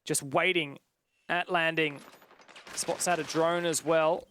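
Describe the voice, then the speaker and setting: A man's voice: on the high side, steady tone, takes it slowly, a bit bright, slight background noise. A man giving live esports commentary in a chatty, joking, conversational way. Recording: game gunfire and clicks behind voice. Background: game sound with gunshots.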